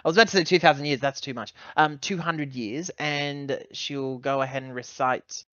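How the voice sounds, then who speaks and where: A man playing a tabletop roleplaying game, describing actions casually, halting and correcting himself as he thinks aloud. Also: medium pitch, fairly bright tone, no echo, muffled recording.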